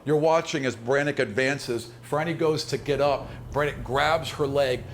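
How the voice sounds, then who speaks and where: A man runs a tabletop role-playing game, describing scenes off the cuff in a conversational way. His pitch is middling, and there is faint noise in the recording. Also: moderate pace. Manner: conversational, descriptive, swears casually. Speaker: game master. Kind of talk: improvised tabletop game narration.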